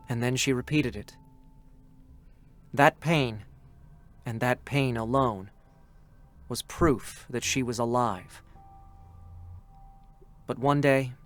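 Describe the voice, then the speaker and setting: A man's voice: medium pitch, fairly bright tone. An actor playing a youthful, earnest male scout android in a dramatic reading of a game character. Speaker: character narrator. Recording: music behind the voice. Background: music.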